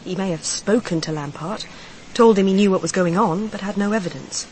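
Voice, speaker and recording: An actress plays a woman mourning her lover in a radio crime drama. She confides in measured, candid phrases at a middle pitch, with faint noise behind her. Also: a little mellow, close to an everyday voice, poor audio. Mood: resigned, bitter. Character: grieving, candid mistress confiding in a detective.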